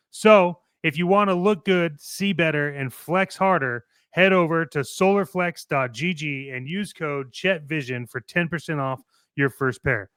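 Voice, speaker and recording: Guy cohosting a talk show, kinda high clean voice, really dry close sound, casual and punchy. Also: fairly bright tone, clear, resonant tone, no echo. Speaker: co-host of a toy-collecting show. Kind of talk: opinionated chat and playful ad reads.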